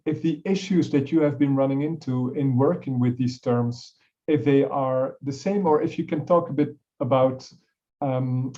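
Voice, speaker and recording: A male moderator politely invites the audience and panelists to respond, circling his questions with fillers. His voice is mellow and dark, and the recording is dull and muffled. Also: mid-range voice. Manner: polite, inviting, loosely phrased.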